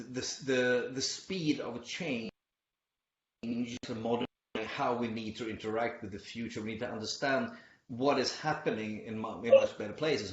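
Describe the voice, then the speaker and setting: A middle-aged man from Sweden giving an online conference presentation, hesitant with many fillers and restarts. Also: medium pitch. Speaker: conference speaker. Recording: muffled lo-fi audio in an echoey room.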